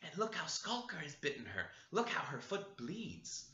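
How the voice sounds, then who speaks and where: A man's voice: a little high, very animated, normal speed, bright, forceful tone. A man voicing a timid young boy who whispers, in a dull echoey recording. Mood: hushed.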